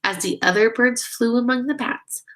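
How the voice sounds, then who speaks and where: A woman reading a children's picture book aloud in a gentle storytelling manner. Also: fairly high pitch, normal speed. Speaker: storybook reader. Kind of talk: children's read-aloud narration.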